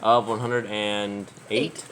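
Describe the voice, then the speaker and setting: A man taking part in a casual family scripture reading, recapping the earlier passages in a relaxed way. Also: on the low side, takes it slowly, slight background noise, rough recording. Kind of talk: casual group Bible study.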